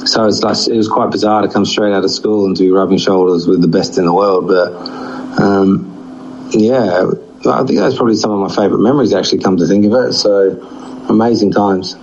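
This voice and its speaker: A man in his forties whose accent blends Australian and English, talking frankly and reflectively about his playing days. His voice sits low, and the recording sounds dull and lo-fi. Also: brisk, darkish tone, a little hiss or hum. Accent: mix of Australian and English. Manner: frank, reflective, with a wry laugh. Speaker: former cricketer, guest. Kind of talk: candid interview answers. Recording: dull lo-fi audio.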